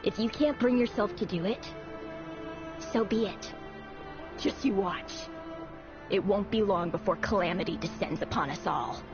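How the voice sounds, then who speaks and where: An actress playing an embittered, vengeful rebel of a fallen dynasty, sardonic and fervent, in a dramatic video game style. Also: female voice, mid-range voice, moderate pace, pauses often, natural voice. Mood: bitter, menacing. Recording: dull game audio under loud music.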